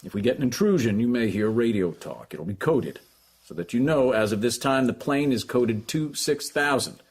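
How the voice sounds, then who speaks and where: An actor playing a dutiful government security agent, briefing in clipped, deferential phrases in an audio drama. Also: male voice, mid-range voice, expressive, moderate pace, breathy edge. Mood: brisk, procedural. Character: brisk, dutiful male Secret Service agent.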